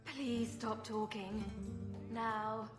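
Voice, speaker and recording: An actress playing a blunt, commanding heroine in a video game, with curt, stern delivery. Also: female voice, fairly high pitch, fairly even intonation, drawn-out words. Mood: stern, impatient. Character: stern, blunt female hero investigating missing recruits. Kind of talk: dramatic video game dialogue. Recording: music behind the voice.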